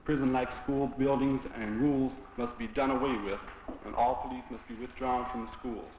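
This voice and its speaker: A man reads out formal demands in a medium-pitched voice. He sounds distant and roomy on a muffled old film recording. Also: fairly even intonation, normal speed, noisy background. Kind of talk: reading out a list of demands.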